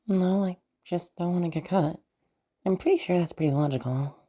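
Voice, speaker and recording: Woman acting a composed, husky-voiced rich child. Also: on the low side, moderate pace, dark tone, pitched above the performer's own voice, close to an everyday voice. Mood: calm, cautious. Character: composed, logical, well-behaved rich child.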